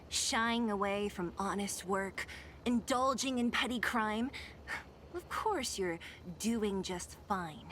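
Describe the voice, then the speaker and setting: A woman's voice: medium pitch, slow pace, a bit bright, a little hiss or hum. An actress playing a sardonic young woman companion in a video game, with a mocking, teasing delivery.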